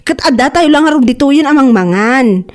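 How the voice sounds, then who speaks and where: A woman's voice: high voice, lively intonation, fairly quick, darkish tone. A woman acting a skeptical, scolding pregnant wife in a radio comedy drama. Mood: bossy.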